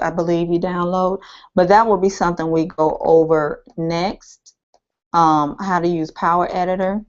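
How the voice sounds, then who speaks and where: Woman w a low-ish tired voice going hoarse, lotsa ums, muffled lo-fi computer mic. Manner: rambling, thinking aloud with many ums. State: losing her voice, a bit hoarse. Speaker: online marketing trainer. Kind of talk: screen-share tutorial. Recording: dull lo-fi screen-capture audio.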